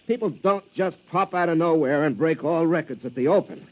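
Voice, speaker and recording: A man's voice: a little high, lively intonation, normal speed, lightly acted. A man playing a plain-spoken, stubborn pro golfer in a 1950s radio drama, terse and understated in his words. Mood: troubled. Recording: muffled vintage 1950s radio recording.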